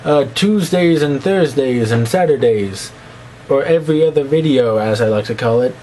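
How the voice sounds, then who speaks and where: A man's voice: normal speed, warm tone, rough recording. A young man with a slight lisp rambles to camera in a loose vlog, jumping between topics and mocking himself. His voice sits in an ordinary middle register, with a faint hiss behind it. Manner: rambling, self-mocking, breaks into squeaky put-on voices. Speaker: vlogger. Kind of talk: rambling casual monologue to camera.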